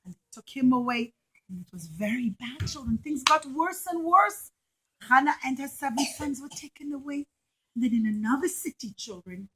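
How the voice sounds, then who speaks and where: A woman with a high, bright voice plays storyteller to little children. She speaks in simple, sing-song phrases and leans on key words for drama. It sounds like a teacher leading a puppet show. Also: breathy edge, low-quality recording.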